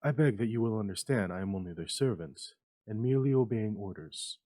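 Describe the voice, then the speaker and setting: A man voicing a solemn, uneasy company messenger with a halting, formal manner, in a dramatic story reading. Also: lowish voice, expressive, mellow, natural voice. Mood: formal, reluctant.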